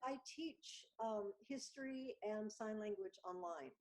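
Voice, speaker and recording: A woman guest chatting over a video call, telling stories with lively acted-out dialogue. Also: medium pitch, measured pace, poor audio. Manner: animated storyteller, acts out dialogue. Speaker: guest artist. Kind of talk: casual video chat.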